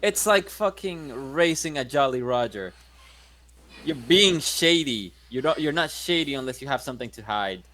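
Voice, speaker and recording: A man's voice: mid-range voice, expressive, moderate pace, pushed and bright. A man playing a tabletop game in character, brash and blunt, cursing freely. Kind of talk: in-character roleplay chat.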